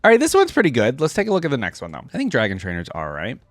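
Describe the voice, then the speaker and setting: A man's voice: medium pitch, wildly swooping pitch, rapid-fire, no room sound, studio quality, soft background music. A man giving casual, unscripted reaction commentary, thinking aloud in an informal way as he goes. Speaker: reaction video host.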